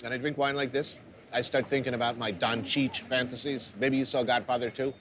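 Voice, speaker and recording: A man's voice: medium pitch, a little fast. Wry, profane middle-aged man host. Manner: wry, profane, deadpan humor. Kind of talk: casual chat and scripted voice-over narration. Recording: music playing behind.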